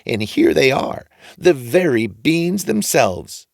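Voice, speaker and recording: A man voicing a funny old trader in a storybook, sly and friendly, with a drawn-out coaxing delivery. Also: on the high side, sing-song, vocal fry, no room sound, studio quality, pitched above the performer's own voice, exaggerated voice. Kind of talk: storybook character reading.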